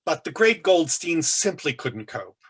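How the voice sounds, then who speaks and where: A flamboyant, catty older man as a male author reads him aloud, holding court in a camp, theatrical way. The voice is husky and sits on the high side, with grand, drawn-out emphasis on choice words. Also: very animated, a bit bright, heavily acted. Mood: scornful, lecturing.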